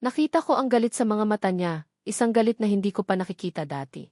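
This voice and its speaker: A woman's voice: mid-range voice, lively intonation, quick pace, darkish tone, dry recording, studio quality. A woman telling a first-person dramatic love story in an earnest storyteller's voice.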